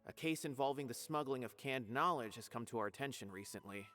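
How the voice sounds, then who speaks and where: An actor playing a polite, earnest male investigator in a video game, explaining things in a measured way. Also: mid-range voice, bright, forceful tone, soft background music, close to an everyday voice.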